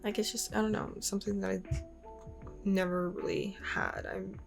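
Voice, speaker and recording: A woman's voice: mid-range voice, flat delivery, moderate pace, vocal fry, over music. A woman in her twenties talking casually and openly to her channel, rambling with lots of fillers. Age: 20s. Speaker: YouTuber. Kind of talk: casual personal vlog.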